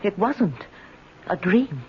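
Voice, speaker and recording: A woman's voice: mid-range voice, very animated. An actress playing a frightened, lonely, confused woman who stammers and pleads in a 1970s radio drama. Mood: shaken, insistent. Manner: halting, stammering, tremulous pleading. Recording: dull, noisy 1970s radio recording.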